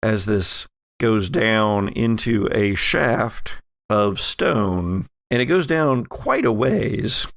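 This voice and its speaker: Guy game mastering a horror rpg, real slow and halting, lots of vocal fry. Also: on the low side, lively intonation, a bit bright, dry recording, studio quality. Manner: halting, pausing mid-phrase to find words. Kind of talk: improvised scene narration for a horror tabletop game.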